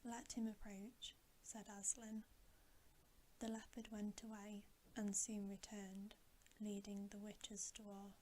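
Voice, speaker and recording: Woman, ASMR whisper, bedtime story reading, breathy and hushed, very even intonation, slow pace, faint background noise. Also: mid-range voice, rough recording. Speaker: ASMR reader.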